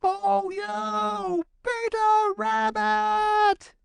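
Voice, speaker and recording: Roly-poly cartoonish woodchuck: very high squeal, eager, excitable, storybook reading. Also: male voice, drawn-out words, gravelly, no echo, exaggerated voice. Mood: calling out. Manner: eager, calling out. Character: excitable, eager, round young male woodchuck.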